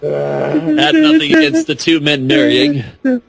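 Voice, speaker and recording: A man's voice: very high-pitched, sing-song, slow, creaky, a crowd in the background. A man doing a comic reading of fan fiction, mock-dramatic with playful, chatty asides. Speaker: podcast guest or panelist reading narration.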